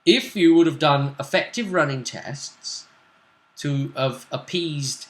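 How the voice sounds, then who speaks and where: A man's voice: on the high side, takes it slowly. A man with a British accent casually recapping a tabletop role-playing session as game master, explaining things conversationally. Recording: echoey room.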